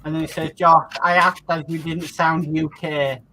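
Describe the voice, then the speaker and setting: A man's voice: on the high side, little pitch movement, moderate pace, pushed and bright, rough recording. A man hosting a casual live stream and reading out viewer comments. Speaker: host.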